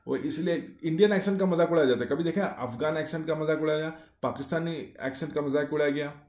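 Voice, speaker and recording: A man's voice: a little high, fast talker, a little mellow. A man giving a casual explainer monologue, speaking in short clauses with many fillers and rhetorical questions. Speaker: YouTube explainer. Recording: echoey room.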